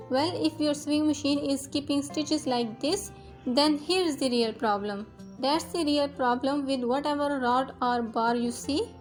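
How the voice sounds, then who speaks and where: A young woman whose first language is Urdu, patiently talking through a sewing machine fix step by step as host of her tutorial channel. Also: high voice. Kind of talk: instructional voice-over.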